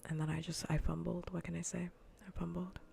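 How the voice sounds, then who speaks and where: Female ASMR game streamer: very creaky, breathy, whisper-prone delivery, loose repetitive chatter, faint background noise. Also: lowish voice, level tone, brisk, warm tone. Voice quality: breathy, often whispering, gravelly fry. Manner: casual, rambling, repeats phrases. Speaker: livestreamer. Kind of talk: soft ASMR gaming livestream chat. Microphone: close ASMR microphone.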